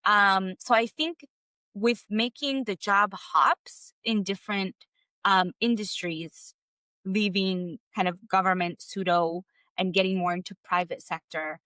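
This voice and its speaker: A woman from the United States who grew up speaking Spanish, a guest telling her career story in a relaxed interview, full of fillers and restarts. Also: medium pitch, a little slow, clear, resonant tone, dry recording. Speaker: guest, clarity coach. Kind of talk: conversational interview.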